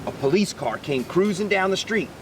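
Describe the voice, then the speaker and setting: A man reading a children's book aloud expressively like a teacher, pausing to quiz his listeners. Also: animated. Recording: distant mic, rumbly background noise.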